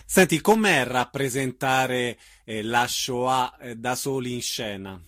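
A man working as an interviewer, putting measured questions to a guest. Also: medium pitch, a bit bright. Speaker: radio interviewer.

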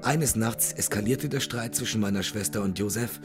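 A low-voiced older gentleman played by a man tells his story in a formal, measured way, grave and haunted. He stays courteous throughout, as in an audio drama, with faint music underneath. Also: natural voice. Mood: grave, bitter. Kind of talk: German audio drama acting.

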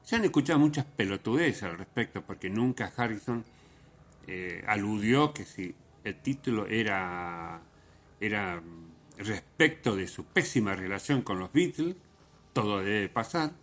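Slow-talking man hosting music radio. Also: lowish voice, animated, fairly bright tone. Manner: halting, with frequent repetition for emphasis. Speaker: radio host. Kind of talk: music radio commentary, unscripted storytelling.

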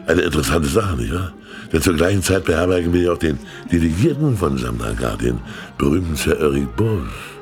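An actor playing a very fat older state councillor in a radio play, jovial, sly and self-mocking, with a theatrical delivery. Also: male voice, rumbling low, lively intonation, a little fast, soft background music. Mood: sly, confiding.

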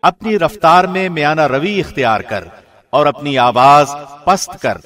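A man reads a scripture translation in a studio with a formal, broadcast-trained delivery. His voice sits a little high for a man. He keeps an even, solemn pace throughout. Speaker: translation reader. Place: recording studio.

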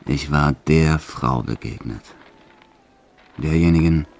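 A proud, dangerous male gangster recounting his life for a radio play. His voice is extremely deep and creaks with gravel. He speaks in a measured, sombre confessional manner, cold and hard, with faint music underneath. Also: a little mellow, heavily acted. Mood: reflective, tender. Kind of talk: first-person radio play narration.

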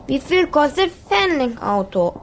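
A woman's voice: high voice, big pitch swings, unhurried, a little hiss or hum, exaggerated voice. A woman playing a young Afghan boy in a radio play, a Dari speaker with an Afghan accent speaking simple broken German. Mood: wistful, eager. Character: young Afghan boy, sick in hospital, brave but wanting.

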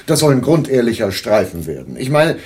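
An actor playing an eager, nervy schemer in a German radio play, talking in an insistent manner. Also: male voice, mid-range voice, very animated, a little fast, darkish tone, slightly rough. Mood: earnest.

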